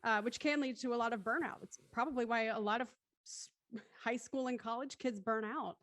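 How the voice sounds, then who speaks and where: A woman's voice: fairly high pitch, brisk, fairly bright tone, no echo. A woman talking casually and reflectively in a group discussion.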